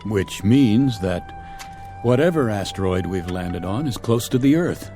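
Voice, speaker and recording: An actor playing a stern, pragmatic spaceship colonel with an authoritative manner in a radio drama. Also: male voice, lowish voice, animated, measured pace, warm tone, faint music behind. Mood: grim, measured. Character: stern, commanding male spaceship colonel, steady under strain.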